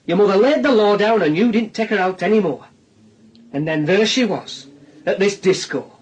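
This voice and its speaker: An actor playing a sardonic police detective in a radio drama, with a Northern English accent, pressing with insistent questions. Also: male voice, high voice, normal speed, slightly rough, rough recording. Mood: needling. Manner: insistent questioning with tag questions and dry sarcasm. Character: needling, sardonic male police detective grilling a suspect. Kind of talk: naturalistic radio drama dialogue.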